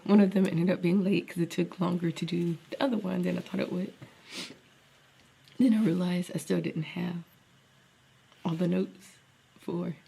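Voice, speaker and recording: Young woman, vlogger, congested, husky, gravelly, mellow, rambling. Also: on the low side, a little hiss or hum. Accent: Southern US. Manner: rambling, thinking aloud, drops to near-whisper. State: congested with a cold. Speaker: special education teacher and vlogger. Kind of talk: casual vlog talk to camera.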